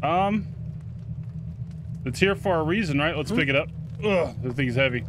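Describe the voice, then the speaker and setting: A man giving casual first-look game commentary, excitable and sweary, reacting aloud and chatting to his viewers. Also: a little high, moderate pace, noisy background. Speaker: gaming video host.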